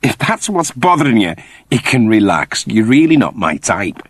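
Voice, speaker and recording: A man's voice: lowish voice, sing-song, normal speed, husky, no room sound, pitched above the performer's own voice. A man voicing a laid-back, stubborn male prisoner in a sci-fi story, talking bluntly and casually with cheek.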